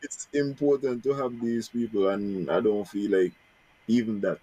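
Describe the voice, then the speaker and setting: Low-voiced male host. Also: fairly even intonation, moderate pace, warm tone, husky, poor audio. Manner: conversational, loosely phrased questions. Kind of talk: casual interview chat.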